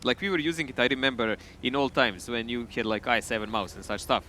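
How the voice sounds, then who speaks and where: A man's voice: medium pitch, fairly even intonation, quick pace, bright, forceful tone, a little hiss or hum. A man co-casting a live esports match, talking in a chatty way with loose phrasing and restarts. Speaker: esports co-caster.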